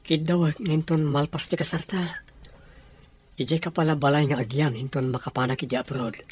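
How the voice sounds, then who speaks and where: A man's voice: on the high side, fairly even intonation, moderate pace, a little mellow, husky. A man playing an easygoing young office worker and sweet-talking fiancé in a radio comedy-drama. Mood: fond.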